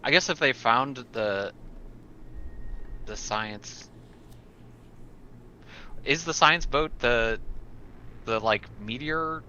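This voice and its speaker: Man, tabletop game player, unhurried hesitant chat, mid-pitched, muffled voice-chat sound, slight noise. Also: pushed and bright. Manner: tentative, thinking aloud. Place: indoors at a computer, online game session. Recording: dull lo-fi voice chat, background noise.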